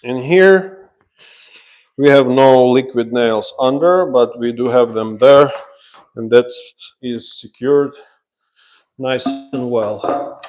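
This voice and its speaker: Slow-talking man with a Ukrainian accent. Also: mid-range voice, a little mellow. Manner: explains while working, pausing as he handles tools. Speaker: drywall installer, live stream host. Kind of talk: casual live work commentary. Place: laundry room under renovation, indoors.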